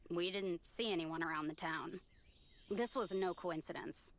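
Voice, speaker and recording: Woman acting as a wary blunt survivor lady in a game, lowish voice, matter of fact. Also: a little slow, natural voice. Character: blunt, wary townswoman in a zombie survival world. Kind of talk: dramatic video game dialogue.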